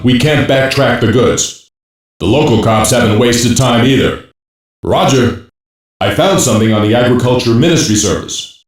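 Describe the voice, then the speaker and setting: A businesslike male cyber operative played by a man in an anime game. His voice is mid-pitched and steady, delivering procedural updates from a little way off in a very echoey space. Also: lightly acted. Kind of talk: dubbed anime game dialogue, technical report. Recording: very echoey, distant from the mic.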